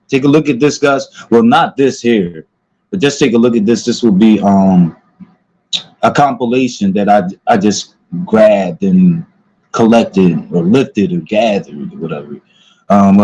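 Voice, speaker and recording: Man, livestream host, low mellow voice, rambling with fillers, dull lo-fi sound. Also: moderate pace, slightly rough. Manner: rambling, many fillers, addresses viewers. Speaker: streamer host. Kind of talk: casual livestream commentary.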